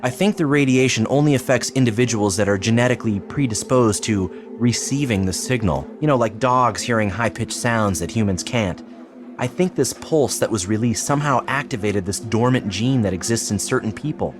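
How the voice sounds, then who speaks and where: An actor playing an earnest, persuasive psychiatrist in an audio drama, explaining in a measured, solemn way. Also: male voice, mid-range voice, close to an everyday voice. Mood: measured, explanatory. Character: earnest, persuasive male psychiatrist pressing his case. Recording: clearly noisy background ambience.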